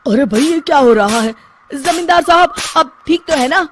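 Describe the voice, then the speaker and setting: An actor playing a timid, nervous village man afraid of ghosts in an animated Hindi story. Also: extremely high pitch, normal speed, music in the background. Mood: concerned. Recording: faint background noise.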